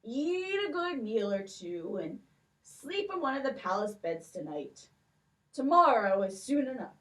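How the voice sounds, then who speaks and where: A woman putting on a fussy old king who dotes on his gold and gives out quests in a slow, lilting voice. His lines rise and fall widely, and he is heard from a distant mic in a children's puppet show. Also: on the high side, sing-song, a bit bright. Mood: commanding.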